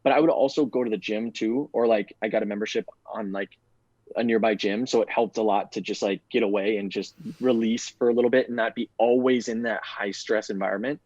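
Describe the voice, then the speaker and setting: A man's voice: lowish voice, fairly even intonation, brisk, a little mellow, no echo, muffled recording. A man answering interview questions in a rambling way, filling his sentences with "like". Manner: rambling, heavy use of "like". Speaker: guest, bootcamp graduate.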